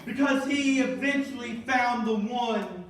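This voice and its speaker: High-voiced male preacher in an echoey church. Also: a crowd in the background. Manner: builds from measured reading to emphatic calls. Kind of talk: sermon. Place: church sanctuary. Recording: echoey church, mic far off, congregation responding.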